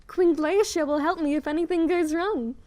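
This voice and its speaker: A woman voicing a vain, bubbly, naive young dragon princess in an airy, girlish way for an animated fan dub. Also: extremely high pitch, moderate pace. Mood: smug, airy. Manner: airy, self-satisfied, girlish.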